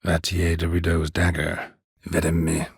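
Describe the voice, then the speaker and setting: An actor playing a gruff, weary, stoic monster hunter in a video game, a gravelly voice and a terse, deadpan delivery. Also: male voice, unhurried, dry recording, heavily acted. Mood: matter-of-fact, grim. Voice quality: husky, gravelly low voice. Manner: terse, clipped, deadpan, dry. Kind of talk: video game character dialogue.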